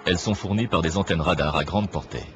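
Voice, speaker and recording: A man reading a scripted documentary narration in a measured, didactic manner. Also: sits low, a bit bright, slight background noise. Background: clear background music.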